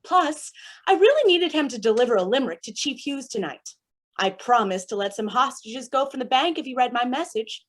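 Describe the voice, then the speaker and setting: A woman's voice: high voice, animated, a little fast. A woman playing a chatty, theatrically polite and menacing villainess in a staged play reading. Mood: manic chatter. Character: manic, chatty villainess with smeared mime makeup.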